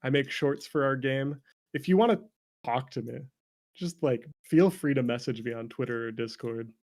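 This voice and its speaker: A man's voice: mid-range voice, moderate pace, darkish tone. Playful, joking man in casual chat. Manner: playful, mock-sarcastic joking. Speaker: interview guest.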